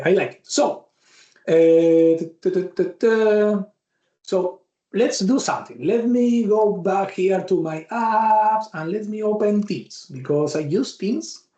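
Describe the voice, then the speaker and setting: A man with an Argentine Spanish accent walks through a software demo as a bootcamp instructor, his voice sitting a little high for a man. He thinks out loud and restarts words as he clicks along. The sound has a roomy echo around it. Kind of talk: live software demo walkthrough.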